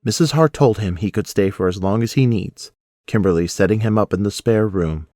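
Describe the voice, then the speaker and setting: A male storyteller reads scripted audio drama narration. His voice sits low, and the sound is dry with hardly any room in it. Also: a little fast, warm tone, no echo.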